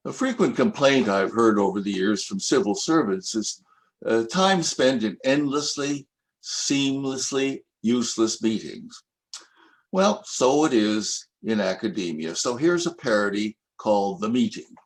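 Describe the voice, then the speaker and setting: An older man reads his own verse slowly and deliberately, taking his time over each line. His voice sits a little low, yet his pitch rises and falls a great deal as he recites. The delivery is calm and reflective. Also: rough recording. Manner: slow, measured, reflective recitation. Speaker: poet reading his own work. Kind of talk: poetry reading.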